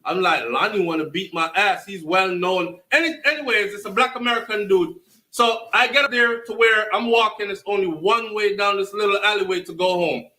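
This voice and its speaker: A Jamaican man with a very high, sharp, bright voice. He tells his own story with emphasis. He slips into patois and swears freely as he goes. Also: brisk. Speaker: storyteller recounting own life. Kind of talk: animated personal storytelling to camera.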